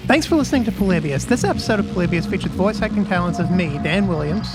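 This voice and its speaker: A man's voice: high-pitched, expressive, fast talker, rough recording. A man giving a podcast outro as a voice actor, reading credits and thanking listeners. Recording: loud music over voice.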